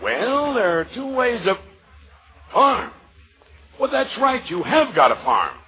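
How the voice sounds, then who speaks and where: A man playing an excitable, scheming magazine editor with a husky voice in a 1940s radio comedy. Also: very high voice, takes it slowly, full character voice. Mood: scheming, excited. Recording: dull old radio recording.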